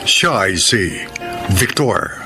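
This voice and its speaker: A male radio drama host narrates slowly and deliberately. His mid-pitched voice rises and falls dramatically over prominent music. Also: lively intonation. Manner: deliberate, phrase by phrase.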